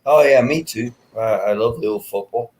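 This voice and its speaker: A man's voice: mid-range voice, moderate pace, darkish tone. A middle-aged man with a Northern Irish accent chatting casually on a stream, often saying "you know" and restarting words. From Northern Ireland. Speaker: stream guest. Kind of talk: casual live-stream chat.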